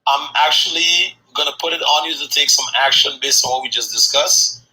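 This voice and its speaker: A man hosting a sales session, giving a brash motivational pitch and teasing his guests, with casual cursing. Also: brisk, pushed and bright, slight background noise, low-quality recording.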